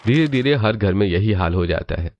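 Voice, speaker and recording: A man's voice: lowish voice, expressive, moderate pace, a little mellow, dull audio. A man reading scripted story narration.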